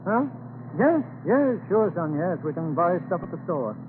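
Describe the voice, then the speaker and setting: An actor playing a steady, worried farmer father in an old-time radio drama, reassuring his family through hard times. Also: high-pitched, measured pace. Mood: plain-spoken, reassuring. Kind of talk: 1940s radio drama acting. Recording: scratchy tinny old radio recording.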